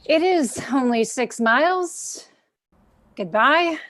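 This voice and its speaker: A meek, apologetic husband, played by a woman in a stage play read over video call. The voice sits a little high and moves slowly and haltingly. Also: lively intonation, fairly bright tone, full character voice. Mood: apologetic, resigned.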